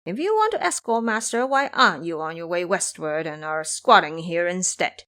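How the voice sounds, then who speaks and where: A woman's voice: on the low side, lively intonation, normal speed, a bit bright. A woman voicing a bossy, brash male monkey hero in an audio drama, with a commanding manner. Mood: scolding.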